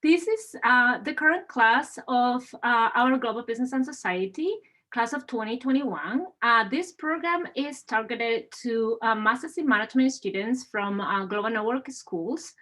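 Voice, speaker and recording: A woman's voice: a little high, normal speed, bright, forceful tone. A woman from Spain with a Spanish accent, an assistant dean presenting an admissions webinar with many "uh" fillers. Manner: frequent "uh" fillers, upbeat and welcoming.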